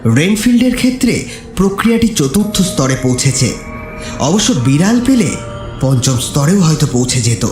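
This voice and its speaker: Expressive male horror story narrator. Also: a little high, moderate pace. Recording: echoey, with music underneath. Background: music.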